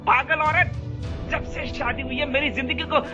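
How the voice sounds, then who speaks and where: An actor playing a rude, bullying husband who shouts abuse at his wife in a radio play. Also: very high voice, fairly even intonation, normal speed, dull audio, over music. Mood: angry.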